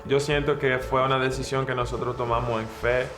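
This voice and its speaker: A man's voice: mid-range voice, little pitch movement, brisk. A young man from the Bronx with a New York Dominican accent, giving a personal testimony. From the Bronx, United States. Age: young adult. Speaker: student and missionary volunteer.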